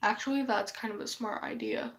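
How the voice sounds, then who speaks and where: A woman's voice: a little high, steady tone, a little fast, fairly bright tone. A woman who is losing her voice from a cold, rambling in a casual vlog, sighing often and swearing offhandedly. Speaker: vlogger.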